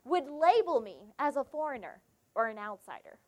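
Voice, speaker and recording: A woman's voice: high voice, very animated, moderate pace. A young woman from South Carolina with a Southern accent, giving a devotional sermon and telling a personal story, acting out the dialogue in it. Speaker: preacher.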